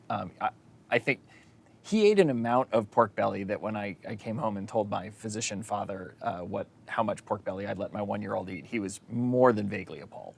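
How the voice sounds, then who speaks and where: Wry thirties man, low gravelly voice, self-deprecating. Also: a little hiss or hum. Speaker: guest. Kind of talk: casual panel chat.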